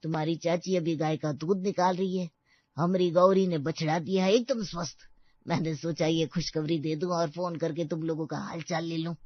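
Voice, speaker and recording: An emotional old village uncle from an audio story, voiced by a woman. The voice sits a little low and speaks with dramatic, doting exclamations. The recording is dry and a bit dull. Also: fairly even intonation, normal speed, no room sound. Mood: hearty, curious.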